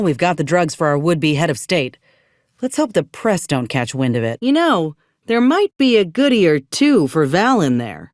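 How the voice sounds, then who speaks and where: Young woman, game companion, folksy, wry, loyal, animated pitch, close dry sound. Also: no room sound. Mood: wry, matter-of-fact. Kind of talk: video game companion voice lines.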